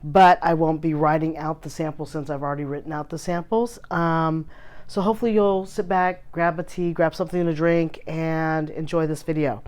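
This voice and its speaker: A woman hosting a casual fountain pen vlog, her voice hoarse from a recent illness, chatty and full of filler ums as she shows her pens. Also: sits low, steady tone, moderate pace, a little mellow. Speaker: fountain pen channel host. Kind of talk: casual show-and-tell vlog.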